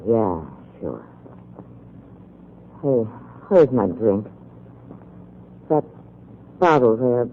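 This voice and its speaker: An actress playing a frightened, evasive American dame in a 1940s radio crime drama, guarded in manner. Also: female voice, a bass voice, sing-song, extremely slow, pauses often, exaggerated voice. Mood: listless. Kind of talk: 1940s radio noir acting. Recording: muffled, noisy 1940s radio recording.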